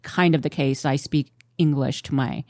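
A woman co-hosting a podcast, chatting about a film and her family in a lively, conversational way. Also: a bass voice, normal speed, no room sound, studio quality. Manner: chatty, animated when excited. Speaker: podcast co-host. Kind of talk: casual film discussion.

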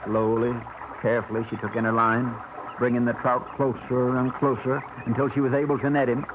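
A man narrating a 1950s radio drama in a measured, storytelling manner. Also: medium pitch, little pitch movement, noisy background. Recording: tinny old radio broadcast with music.

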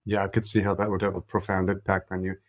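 A man's voice: deep voice, completely flat delivery, fast, no room sound, clean studio sound. A man hosting a podcast, asking casual questions with many fillers. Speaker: podcast host. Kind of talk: interview questions.

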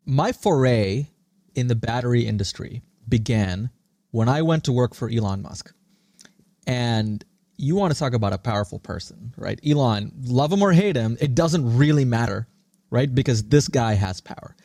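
A man's voice: medium pitch, lively intonation, moderate pace, mellow, no echo, clean studio sound. A man in his thirties with an American accent, answering interview questions as a podcast guest in an earnest, emphatic, articulate way. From born in India, raised in Singapore. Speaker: podcast guest, startup CEO.

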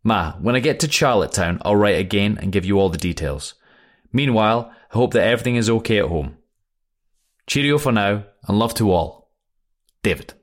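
Audio drama: man to play breezy young airman writing home, lowish pitch, plain and cheerful delivery. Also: animated, normal speed, close to an everyday voice. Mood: cheerful, reassuring. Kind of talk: read-aloud wartime letter.

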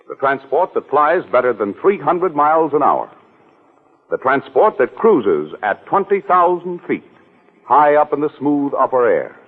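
A man working as a vintage radio announcer, emphatic and measured, with a widely swinging pitch. The voice comes through tinny and somewhat husky, like an old broadcast. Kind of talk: 1940s radio announcing, scripted ad and story narration.

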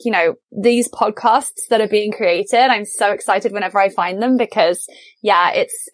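A woman's voice: medium pitch, no echo. A woman hosting a podcast interview, enthusiastic and affirming toward her guest. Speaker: podcast host. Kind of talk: conversational interview.

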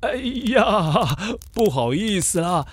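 A man's voice: sits high, sing-song, slow pace, slight background noise, full character voice. A man voicing a polite, sheepish father in a children's audio story, chuckling and coaxing as he asks for a favor. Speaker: voice actor.

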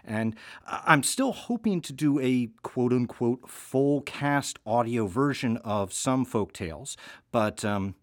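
A man co-hosting a casual podcast about audio production, talking in a wry, halting way and often correcting himself. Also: mid-range voice, lively intonation, dry recording, studio quality. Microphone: home recording setup.

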